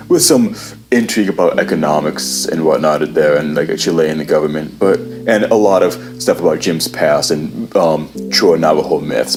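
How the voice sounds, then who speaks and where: A man giving a casual book review to camera, rambling with lots of fillers and restarts, his voice worn low from a day of talking. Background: guitar music.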